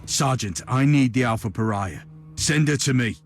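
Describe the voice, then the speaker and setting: A man plays a stern game inquisitor in a middle-pitched voice. He is formal, imperious and self-assured, giving orders curtly over faint music. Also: normal speed, natural voice. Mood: grave. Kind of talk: dramatic game cutscene acting.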